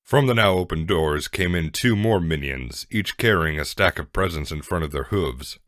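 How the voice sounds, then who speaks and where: A man with an extremely deep voice narrates a story from a script. The recording is dry and close, with little room sound. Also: animated, normal speed, fairly bright tone, no room sound.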